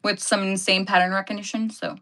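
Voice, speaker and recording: A woman's voice: medium pitch, level tone, brisk, bright, forceful tone. A woman hosting a casual live stream, chatting with viewers and answering their comments, laughing often and correcting herself as she goes. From Canada.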